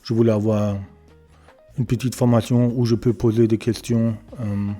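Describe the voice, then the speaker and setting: A German man speaks French with a German accent, slow and hesitant, often stopping to search for words. His voice is dark, low and rather flat. Faint music plays softly behind him. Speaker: electronics repair beginner presenting his channel. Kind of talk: casual self-introduction and workshop tour. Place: home repair workshop.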